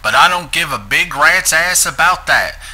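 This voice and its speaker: Bright, forceful man reading aloud. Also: on the high side, animated, normal speed, husky. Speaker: narrator reading a transcript aloud. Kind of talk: dramatic reading of a script.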